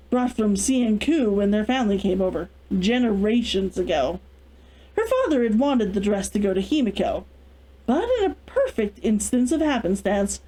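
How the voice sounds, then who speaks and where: A woman hosting a read-along, moving between casual chat and expressive story narration. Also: mid-range voice, poor audio. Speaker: author and host of a read-along series.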